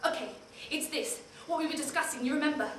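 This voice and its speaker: An actress playing a woman who leaves a low, urgent, secretive message. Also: fast, fairly bright tone, echoey room, distant mic, a little hiss or hum. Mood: conspiratorial. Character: hushed, urgent conspiratorial woman caller.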